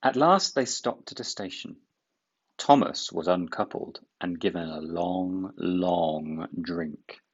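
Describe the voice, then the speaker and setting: A man reading a children's book aloud in expressive storybook narration. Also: low voice, slow, husky, muffled recording.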